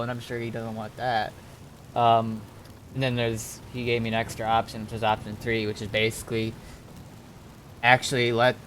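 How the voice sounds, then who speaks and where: A man chats casually while gaming and roleplaying, his voice fairly low and bright. He rambles, hesitates and repeats himself. The home recording has a little noise. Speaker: game streamer, roleplayer. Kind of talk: casual gameplay talk and improvised roleplay.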